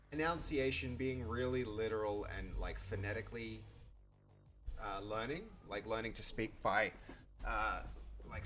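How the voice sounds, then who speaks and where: A man's voice: fairly low pitch, a bit bright. A man with a Dutch accent, a native Dutch speaker, thinking aloud as he codes live on stream, hesitant and full of restarts. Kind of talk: live coding commentary.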